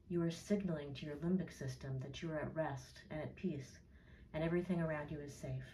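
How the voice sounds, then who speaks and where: A woman narrating a guided meditation in a soothing, measured way, leaving gentle pauses. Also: sits low, slight background noise. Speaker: therapist leading a guided meditation. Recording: roomy echo, distant from mic.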